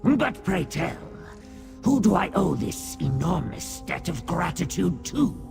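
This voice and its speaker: A man voicing a tiny boastful demon rat in a video game, speaking in pompous, theatrical, archaic phrases with a gravelly rasp. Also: high voice, big pitch swings, takes it slowly, exaggerated voice. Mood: grateful, exultant. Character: tiny boastful demon rat, grandiose self-styled terror. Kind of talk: video game character acting. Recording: game music behind the voice.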